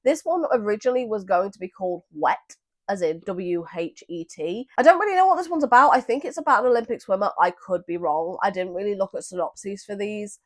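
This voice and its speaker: A woman with a slightly hoarse, froggy voice, chatting casually and warmly about upcoming books as a vlogger. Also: medium pitch, lively intonation, brisk. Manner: friendly, chatty, self-deprecating. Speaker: book vlogger. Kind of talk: casual booktube chat.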